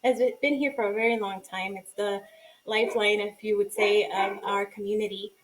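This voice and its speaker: A woman's voice: mid-range voice, steady tone, normal speed, a little hiss or hum, rough recording. A young adult woman from Guatemala, a native Spanish speaker, speaking as a community organizer in an earnest, informal presentation with frequent ums and restarts.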